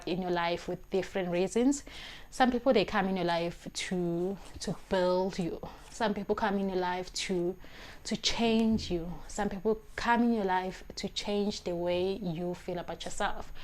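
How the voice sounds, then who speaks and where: A woman's voice: mid-range voice, steady tone, a little slow, darkish tone. Earnest South African woman in her twenties. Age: 20s. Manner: earnest, emphatic, repeats phrases while searching for words. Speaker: vlogger. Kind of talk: talking-to-camera life advice. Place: small indoor room, plain wall. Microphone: lapel mic.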